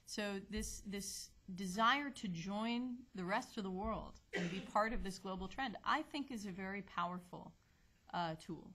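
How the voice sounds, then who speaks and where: A woman panelist answering in a panel discussion in a conference hall, measured and thoughtful with small fillers. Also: medium pitch, pushed and bright.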